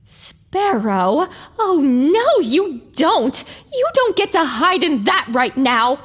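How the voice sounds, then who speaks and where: A woman's voice: high-pitched, sing-song, slow, exaggerated voice. A woman playing a headstrong, defiant young pony heroine in an audio drama. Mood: indignant.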